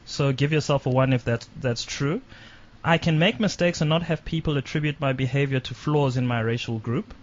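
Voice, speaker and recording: Man reading quiz questions. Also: medium pitch. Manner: brisk, reads questions aloud quickly. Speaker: guest leading a privilege quiz. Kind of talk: radio talk show discussion. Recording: dull lo-fi radio recording.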